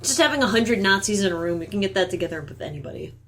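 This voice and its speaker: Lively, teasing woman podcaster. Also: mid-range voice, a little hiss or hum. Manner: animated, teasing, quick to jump in. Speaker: podcast co-host. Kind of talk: casual chat.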